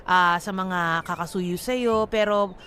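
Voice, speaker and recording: A woman's voice: fairly low pitch, slow, a bit bright, slight background noise. A Filipino woman hosting radio, giving love advice in a chatty, emphatic way and dropping in English phrases. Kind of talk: casual radio chat.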